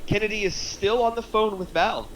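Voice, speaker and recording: A man's voice: high voice, normal speed, fairly bright tone. A man reading screenplay action lines aloud as the narrator of a table read. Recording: distant mic, faint background noise.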